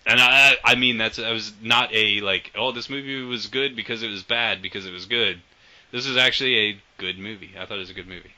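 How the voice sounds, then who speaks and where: A man hosting a casual podcast, enthusiastic and swearing often, trailing off quietly at the ends of sentences. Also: fairly low pitch, steady tone, a little fast. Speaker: podcast host. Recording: dull lo-fi audio.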